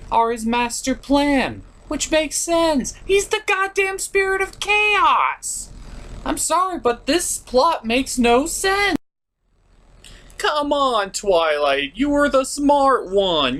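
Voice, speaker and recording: A man's voice: extremely high pitch, a bit bright. A man hosting a comedic scripted cartoon review in a theatrical, sarcastic and exasperated manner. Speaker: host of an online puppet review show.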